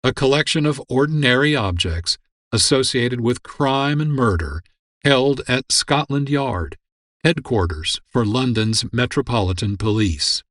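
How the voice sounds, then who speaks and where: A man's voice: mid-range voice, expressive, unhurried, fairly bright tone, dry recording, clean studio sound. A man hosting a radio program, reading scripted narration and credits. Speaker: producer and host. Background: music.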